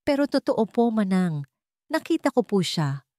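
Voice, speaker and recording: A woman's voice: medium pitch, big pitch swings, measured pace, warm tone, no room sound, clean studio sound, pitched above the performer's own voice. A woman voicing a frightened young servant girl in a dramatic story, polite, earnest and urgent. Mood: pleading.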